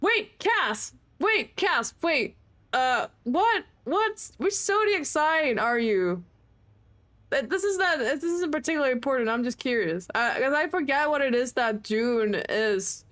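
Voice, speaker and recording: Chatty young woman streamer, slightly high voice. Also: animated, unhurried, fairly bright tone. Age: late 20s. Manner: chatty, self-mocking, swearing freely. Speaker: game streamer. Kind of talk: casual livestream chat.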